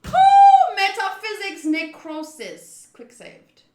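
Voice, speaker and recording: A woman streaming a visual novel playthrough, reading the narration aloud in an expressive, dramatic way with excitable interjections. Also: very high-pitched, slow pace. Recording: roomy echo.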